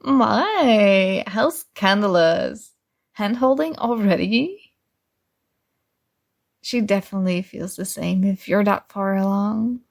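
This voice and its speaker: A young woman performing ASMR roleplay as a sweet, oblivious friend, earnestly giving love advice with little hesitant pauses. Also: mid-range voice, gravelly. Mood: advising, playful. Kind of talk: soft ASMR roleplay acting.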